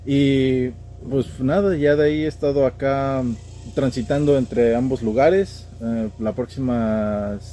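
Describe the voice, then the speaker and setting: Young guy w central mexican accent, mellow voice, slangy podcast guest chat, bit of noise behind him. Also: mid-range voice, steady tone, slow pace. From State of Mexico, Mexico. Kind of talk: casual conversational storytelling.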